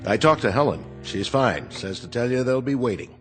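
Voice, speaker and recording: A man's voice: mid-range voice, lively intonation, normal speed, a bit bright, long reverb tail, soft background music, close to an everyday voice. An actor playing a supportive, easygoing male space program colleague in a radio drama. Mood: friendly, encouraging. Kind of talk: naturalistic radio drama acting.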